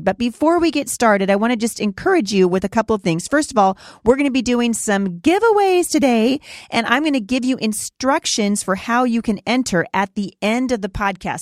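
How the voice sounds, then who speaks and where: A woman's voice: medium pitch, no room sound, clean studio sound. Upbeat middle-aged woman hosting a podcast. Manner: upbeat, encouraging, chatty. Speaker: podcast host.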